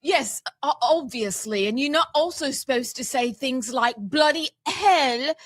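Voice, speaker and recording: Slow, mock-formal woman host, put-on British accent. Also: high voice, animated, no echo, studio quality. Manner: mock-formal, playfully ceremonious. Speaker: show host. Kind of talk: celebratory talk show banter.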